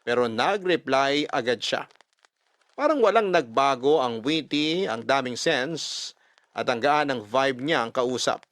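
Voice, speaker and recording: A man narrating a first-person love story dramatically, expressive and emphatic, mixing Tagalog and English. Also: medium pitch, unhurried, no echo. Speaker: storyteller reading a listener's letter.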